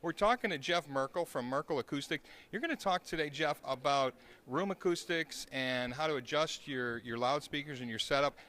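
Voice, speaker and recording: A man's voice: a little high, normal speed, hardly any pauses, pushed and bright. A man hosting an on-location interview, putting questions to a guest. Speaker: interviewer, host.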